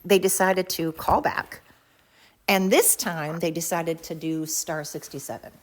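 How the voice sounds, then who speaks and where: Female podcast host, slightly low voice, chatty and self-deprecating, informal solo monologue. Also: steady tone, a little slow. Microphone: talking into a phone while pacing.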